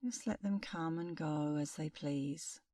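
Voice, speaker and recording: A middle-aged woman leading a guided meditation as an instructor, speaking in a calm, soothing, unhurried way with long pauses. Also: sits low, no room sound, far from the mic.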